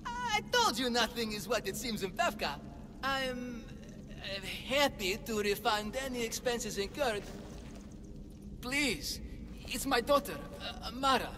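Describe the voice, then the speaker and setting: A man's voice: extremely high pitch, big pitch swings, takes it slowly, heavily acted. A man playing an anxious, melodramatic father, a silver-tongued swindler in a video game, with theatrical, faltering speech. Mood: fearful, pleading. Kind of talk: dramatic video game dialogue. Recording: long cavernous reverb, music behind.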